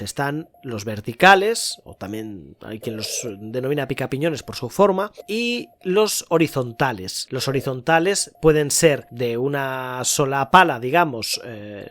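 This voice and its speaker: A man with an accent from Spain hosting a podcast, explaining things point by point in a didactic way. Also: medium pitch. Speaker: podcast host. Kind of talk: podcast explainer on amateur radio.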